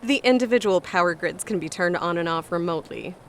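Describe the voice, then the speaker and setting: An actress playing a brisk, matter-of-fact woman resort staffer with dry humour in an audio drama. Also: fairly low pitch, a little hiss or hum, natural voice.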